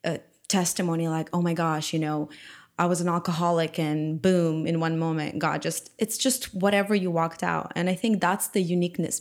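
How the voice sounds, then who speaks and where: Casting note: immigrant woman from Ukraine, guest on a faith podcast, animated, laughs easily, slightly low voice. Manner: animated, laughs often, acts out quoted voices. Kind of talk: casual faith conversation.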